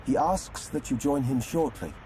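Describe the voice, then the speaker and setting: An actor playing a courteous, formal imperial attendant in a fantasy video game. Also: male voice, mid-range voice, moderate pace, dark tone, slight background noise, lightly acted, low-quality recording. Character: formal male imperial attendant delivering a summons. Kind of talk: dramatic video game voice acting.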